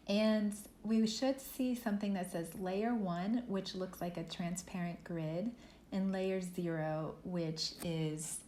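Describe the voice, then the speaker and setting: Female workshop instructor, lowish voice, relaxed tutorial delivery with fillers, roomy echo and faint hiss. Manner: relaxed, thinking aloud with fillers. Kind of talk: software tutorial lesson. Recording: echoey room with faint noise.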